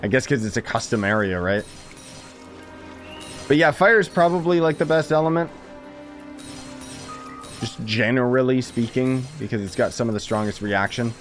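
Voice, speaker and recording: A man's voice: mid-range voice, moderate pace, long pauses, faint music behind. A man giving casual, slangy live commentary as he plays a game and chats with viewers. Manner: informal, slangy, exclaims and chuckles while playing. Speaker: gaming streamer.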